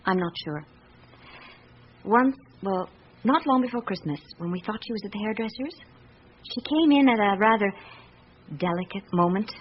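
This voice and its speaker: An actress as a candid, observant secretary in a radio drama. Her British voice swings widely in melody while she confides in a measured, articulate way. The recording is dull and muffled, with a light hiss behind her. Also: mid-range voice, expressive, natural voice. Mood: confiding, observant.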